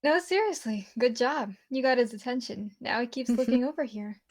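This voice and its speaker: Woman voicing a teasing, higher-pitched schoolgirl friend. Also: expressive, moderate pace. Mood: amused, teasing. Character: teasing teenage schoolgirl friend, wry and scheming.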